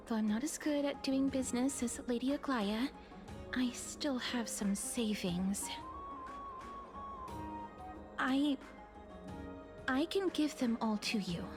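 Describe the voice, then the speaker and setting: A woman playing a gentle, earnest maiden in a video game, careful and formal in the way she talks. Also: high-pitched, measured pace. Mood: reluctant, hesitant. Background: game music.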